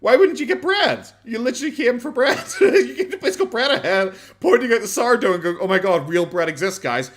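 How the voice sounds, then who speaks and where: A man's voice: very high voice, animated, fairly quick, few pauses, a bit bright. An Irish man streaming a casual reaction chat, sarcastic, swearing often and laughing a lot.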